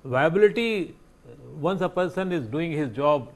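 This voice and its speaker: A man with an Indian accent speaks as a guest in a panel discussion. His voice sits a little high, and his sentences come out halting and in fragments. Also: lively intonation.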